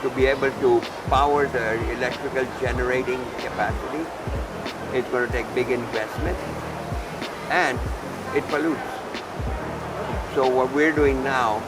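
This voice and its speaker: A man being interviewed as a company executive, explaining at length in earnest, deliberate, point-by-point phrasing. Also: mid-range voice, steady tone, poor audio. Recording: music laid under the voice. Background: background music.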